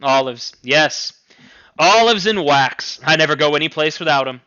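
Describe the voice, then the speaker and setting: Man voicing a whimsical, bright-voiced young man. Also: a little high, lively intonation, moderate pace, muffled recording. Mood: amused. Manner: playful mock-serious, exaggerated emphasis.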